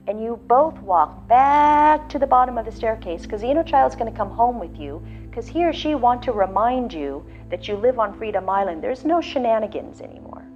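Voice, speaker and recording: A woman leading a guided visualization, speaking slowly and soothingly with soft pauses. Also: fairly high pitch. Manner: slow, soothing, drawn-out phrasing with soft pauses. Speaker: guided meditation leader. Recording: slightly dull lo-fi audio with faint background music.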